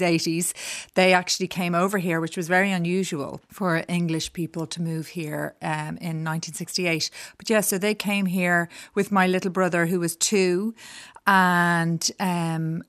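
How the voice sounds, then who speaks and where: A woman's voice: fairly low pitch, no echo, studio quality. Woman, a hesitant radio interview guest. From Ireland. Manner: hesitant, many fillers and restarts. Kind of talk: radio interview, personal storytelling. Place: radio studio.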